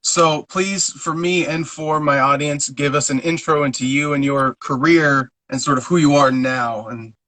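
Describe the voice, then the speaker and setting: A man's voice: fairly high pitch, steady tone, normal speed, few pauses, sharp tone, poor audio. A man hosting a podcast, talking in an earnest, rambling way with frequent restarts. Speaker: podcast host. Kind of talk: casual interview.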